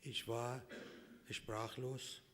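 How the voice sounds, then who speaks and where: A man whose first language is Persian, from Iran, reading a prepared speech at a conference in slow, measured phrases. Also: mid-range voice, flat delivery, a bit bright. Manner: slow, measured delivery with pauses between phrases. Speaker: conference speaker. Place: conference hall. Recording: reverberant hall recording.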